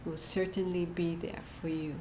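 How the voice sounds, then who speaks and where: A woman giving a short inspirational church message, gentle and halting, often laughing at herself. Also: fairly low pitch, level tone. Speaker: church speaker. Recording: echoey hall with faint room noise.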